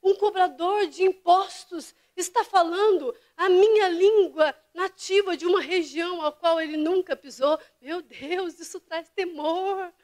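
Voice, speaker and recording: A woman pastor delivering a fervent sermon to her church, dropping to hushed whispers and rising to impassioned cries. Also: very high voice, measured pace, hardly any pauses. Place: church service.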